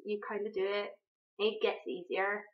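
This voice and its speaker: A woman's voice: medium pitch, little pitch movement, normal speed. A young woman from Finland with a Finnish accent, speaking unscripted to camera, hesitant, with many ums and restarts. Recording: dull lo-fi sound.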